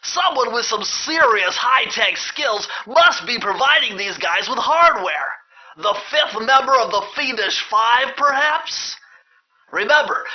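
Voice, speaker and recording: A man voicing a nerdy, excitable young turtle gadget expert in a video game, giving precise, earnest mission instructions in a nasal voice. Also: extremely high pitch, heavily acted. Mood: cautioning, explaining. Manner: precise, bookish, earnest delivery. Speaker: mission guide. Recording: dull, lo-fi game audio.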